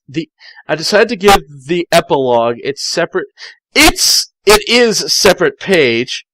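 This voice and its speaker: A man's voice: medium pitch, fairly bright tone, dry recording, low-quality recording. Male amateur story reader, sardonic and mocking in his asides, close dry sound. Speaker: fan fiction reader. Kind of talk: amateur read-aloud with sardonic side comments.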